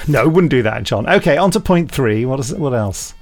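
Male podcast host, British, mid-pitched with some fry, hesitant joking delivery in casual chat. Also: expressive, a little fast, faint music behind. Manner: jokey, hesitant, rambling answers.

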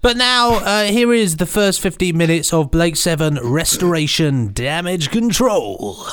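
A man's voice: on the high side, expressive, a little slow, fairly bright tone, smooth. A British man co-hosting a podcast with playful, tongue-in-cheek casual banter. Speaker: podcast co-host.

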